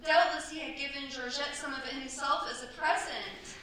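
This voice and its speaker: Actress as an echoey, high-voiced accused young wife. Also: female voice, fairly even intonation, moderate pace, bright, forceful tone. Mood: agitated. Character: accused young wife, distressed, pleading her innocence. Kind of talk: staged radio play acting. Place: staged performance in a cultural centre. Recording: echoey hall, distant mic, stage noise.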